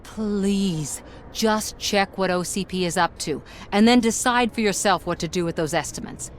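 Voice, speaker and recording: A woman's voice: mid-range voice, normal speed, a little hiss or hum, natural voice. An actress playing a defiant woman activist, speaking in an earnest, persuasive way. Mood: pleading.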